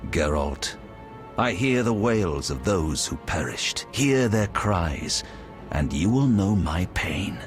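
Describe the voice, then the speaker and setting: Zealous visionary villain, deepish voice, animated intonation, solemn, declamatory, fantasy video game. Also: male voice, slow, full character voice. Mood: anguished, ominous. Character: zealous prophetic male order leader, grandiose fantasy villain. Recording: music behind the voice.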